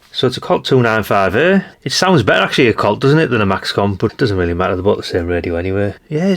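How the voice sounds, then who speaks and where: Gravelly British man, chatting casually. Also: medium pitch. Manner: chatty, rambling, thinking aloud. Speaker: hobbyist radio channel host. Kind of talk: casual hands-on teardown commentary.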